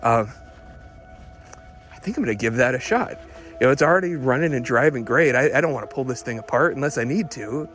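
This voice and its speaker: A man playing an excitable, obsessive car lover who records a rambling, stammering, eager audio diary. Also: medium pitch, soft background music, natural voice. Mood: restless, eager. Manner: halting, stammering, breathlessly eager.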